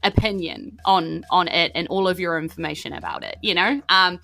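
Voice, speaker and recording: A woman's voice: fairly low pitch, moderate pace, gravelly, pushed and bright, faint music behind. A woman with a New Zealand accent narrating a tabletop game as game master, chatty and informal, putting questions to the players.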